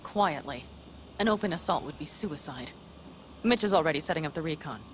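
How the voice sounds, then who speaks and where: A woman's voice: low voice, expressive, a little fast, a little hiss or hum. An actress playing a blunt, determined nomad woman in a video game, assertive and matter-of-fact. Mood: focused, briefing.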